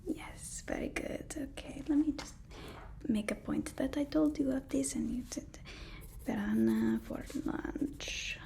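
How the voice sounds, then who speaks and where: Casting: a woman acting as a supervillain's loyal, jittery female aide for ASMR roleplay; higher-pitched whisper, halting, deferential and soothing. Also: creaky, mellow. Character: devoted, anxious female assistant to a supervillain.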